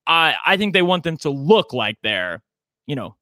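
A man's voice: on the high side, sing-song, moderate pace, pushed and bright, dry recording, clean studio sound. A man in his forties co-hosting a podcast, talking in a chatty, campy, self-deprecating way. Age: 40s. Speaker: podcast co-host. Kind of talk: casual chat.

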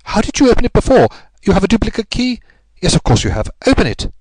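A man playing a commanding English gentleman detective in a story, incisive, urgent and authoritative. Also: sits high, huge pitch swings, fast talker, no echo, voice pushed up, exaggerated voice. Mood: urgent, excited.

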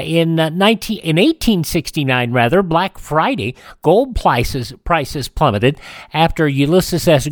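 A man's voice: fairly high pitch, dry recording, studio quality. A man hosting a radio show, talking through a conversational, partly scripted rundown of history.

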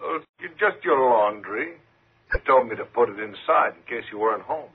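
An actor playing an outwardly obliging but quietly menacing night elevator operator in a 1950s radio thriller, speaking plainly and with casual politeness. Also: male voice, medium pitch, animated, normal speed, vocal fry, breathy edge, heavily acted. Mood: apologetic. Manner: plain working-man speech, casually polite. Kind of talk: 1950s radio suspense acting. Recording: muffled, noisy 1950s radio recording.

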